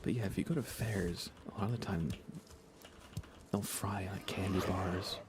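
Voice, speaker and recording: A soft-spoken man chatting casually on an ASMR gaming livestream, rambling unhurriedly and often slipping toward a whisper. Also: sits low, fairly even intonation, normal speed, heavy background noise. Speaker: livestreamer playing a video game.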